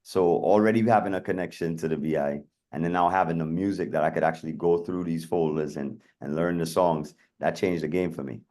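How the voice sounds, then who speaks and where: Deep-voiced Atlanta man telling stories. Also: fairly even intonation, a little fast, few pauses, darkish tone. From Atlanta, USA. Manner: chatty, lots of "you know" fillers. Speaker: guest DJ and producer. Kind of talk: casual interview storytelling.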